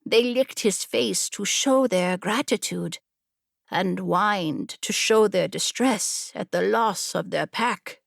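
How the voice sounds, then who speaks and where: Female audiobook narrator, measured and slow, mid-range voice, dry close recording. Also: medium pitch, vocal fry, no room sound. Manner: measured, deliberate storytelling. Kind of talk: scripted story narration.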